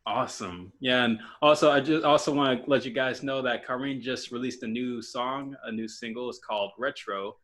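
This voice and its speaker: A young man hosting a podcast interview, casual and halting, full of fillers and restarts. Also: medium pitch, fairly bright tone. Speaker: podcast host.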